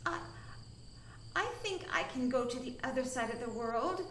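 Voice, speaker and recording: A woman's voice: lively intonation, normal speed, a bit bright, roomy sound, slight background noise. A woman voicing a shy, timid little male possum in a small, stammering voice for a children's story. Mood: timid, eager. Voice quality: small, high voice.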